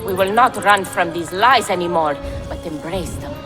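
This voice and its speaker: An actress playing a proud, shrewd woman clan leader in a video game, deliberate and slyly teasing. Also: on the low side, over music, lightly acted. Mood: defiant, scheming. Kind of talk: cinematic video game acting.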